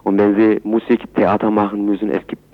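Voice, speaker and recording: A man answering in a radio interview, speaking haltingly in phrasing. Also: fairly low pitch, completely flat delivery. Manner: halting, non-native phrasing. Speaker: interviewee, chairman of a Kurdish community centre. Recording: dull, lo-fi radio recording.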